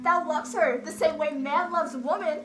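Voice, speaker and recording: Young woman acting urgent, distrustful, very high-voiced stage character. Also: moderate pace, music in the background, natural voice. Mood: urgent, reproachful. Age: young adult. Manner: urgent, dramatic. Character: anxious, jealous first mate and bitter, distrustful siren's voice. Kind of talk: theatrical stage acting in mock-archaic speech. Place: school theater stage, recorded from far off. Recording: echoey school stage, distant microphone.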